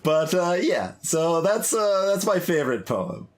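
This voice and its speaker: A man who streams games, speaking or reciting in an expressive performance for his audience. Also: high-pitched, measured pace. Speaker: game streamer. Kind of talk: casual live playthrough commentary; dramatic poetry recital. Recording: music behind the voice.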